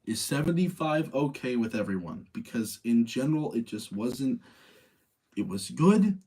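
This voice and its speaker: A man's voice: medium pitch, sing-song, a little slow, darkish tone, breathy edge. A man chatting casually as a livestream host, giving opinionated, rambling commentary and repeating his points for emphasis. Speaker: livestream host reviewing movies.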